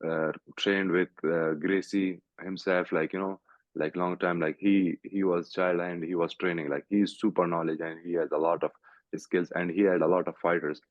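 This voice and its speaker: A young Pakistani man, a fighter, answering interview questions over a video call in halting English full of "like" and "you know". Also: low voice, level tone, no room sound. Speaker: guest fighter. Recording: tinny call audio, dry.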